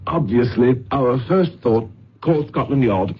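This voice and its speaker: A pompous, anxious English lord. His husky voice blusters and stammers in old radio drama style, on a muffled, lo-fi recording. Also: mid-range voice, expressive, unhurried. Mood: agitated. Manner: blustering, stammering, clipped phrases.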